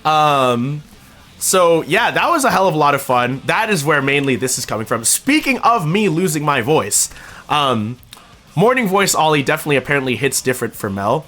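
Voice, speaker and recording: A young man streaming, talking casually to his chat, excitable and swearing freely. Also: on the high side, moderate pace.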